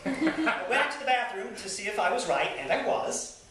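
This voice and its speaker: Very reverberant room, distant camcorder mic, faint noise, man, lively swooping intonation, theatrical live reading. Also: sits high, normal speed. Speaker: reader at a live literary evening. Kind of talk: live reading with chatty introduction. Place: small theatre venue, indoors. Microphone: handheld camcorder at a distance.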